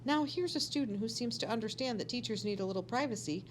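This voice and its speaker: A woman's voice: fairly high pitch, animated, fast, slight background noise, voice pushed up, close to an everyday voice. A woman voicing an authoritative, opinionated female school district supervisor in a storybook, speaking emphatically. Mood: approving, emphatic.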